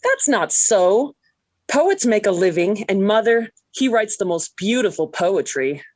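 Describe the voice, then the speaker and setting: A woman's voice: medium pitch, very animated, a little slow, darkish tone, dry recording. An actress playing an earnest, emphatic American teenage girl in love, in 1940s radio sitcom style. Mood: gushing. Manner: gushing or indignant, emphatic.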